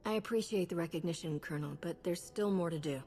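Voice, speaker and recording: A woman's voice: on the low side, fast talker, darkish tone, natural voice. A woman playing a self-possessed, inquisitive hero in a video game, with a direct, questioning manner. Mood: serious. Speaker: player hero character. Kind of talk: video game cutscene acting. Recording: long hall reverb with music behind.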